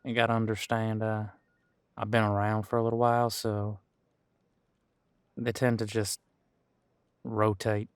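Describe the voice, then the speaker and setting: A man's voice: on the low side, monotone, unhurried, long pauses, smooth, no echo, close to an everyday voice. Man playing a folksy veteran cop, dry close sound. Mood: folksy, reminiscing. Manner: easygoing, folksy, hesitant, chatty. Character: friendly, folksy, long-serving supernatural squad cop, older than he looks. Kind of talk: conversational audio roleplay to an unseen partner.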